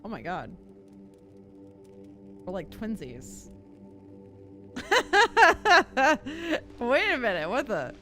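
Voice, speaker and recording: A woman's voice: mid-range voice, a bit bright. A woman game streamer giving casual, playful, slangy and giggly commentary on her playthrough.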